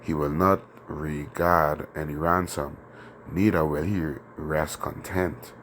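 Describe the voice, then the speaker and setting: A man with a very low, steady voice, hoarse from a sore throat, teaching a scripture lesson. He speaks loosely and conversationally, checking in with his listeners again and again. A faint hiss of noise sits under the recording. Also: slow pace. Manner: conversational, many fillers and tag questions. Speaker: teacher of a religious group.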